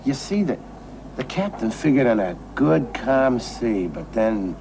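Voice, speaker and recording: Tipsy American gambler, boastful, sentimental, slow rambling delivery, lively intonation, noisy old TV soundtrack. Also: male voice, mid-range voice, creaky. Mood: giddy, insistent. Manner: rambling, repeating himself with emphatic deliberation. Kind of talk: television drama acting.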